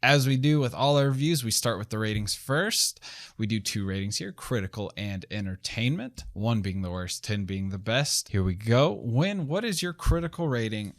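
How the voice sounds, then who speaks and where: A man's voice: on the low side, expressive, normal speed, no echo, studio quality. A young man hosting a casual film review chat in a laid-back, slangy, rambling way. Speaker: film review show host.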